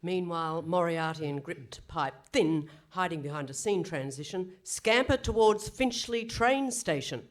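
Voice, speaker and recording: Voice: mid-range voice, a little slow, few pauses, lightly acted. A performer playing a mock-dramatic narrator, reading scripted comic narration for a radio play. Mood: mock-serious. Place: live performance on stage in a hall.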